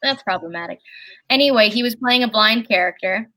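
A person with a very high speaking voice, chatting casually as a guest at home, rambling and halting with lots of fillers and restarts. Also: female voice, pushed and bright, rough recording. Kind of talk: casual chat. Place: at home with a candle lit.

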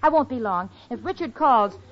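A woman's voice: on the high side, animated, moderate pace, natural voice. An American actress playing a sensible, wry young woman with a sarcastic manner in a 1940s radio comedy. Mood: brisk. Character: wisecracking, sensible young female roommate, wry. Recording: dull noisy old radio, audience behind.

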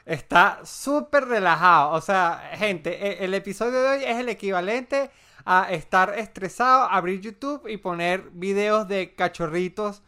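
A man's voice: high-pitched, unhurried, bright, forceful tone. A young Venezuelan man co-hosting a podcast in casual, joking chat, with a dry, playful delivery. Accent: Venezuelan Spanish. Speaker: podcast co-host.

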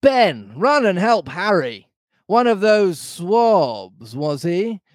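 A man voicing a fruity, theatrical, commanding pirate innkeeper with drawn-out, suggestive delivery in a storybook reading. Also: high-pitched, sing-song, dry recording, clean studio sound, voice pushed up, exaggerated voice. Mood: indignant, demanding. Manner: drawn out, innuendo-laden delivery.

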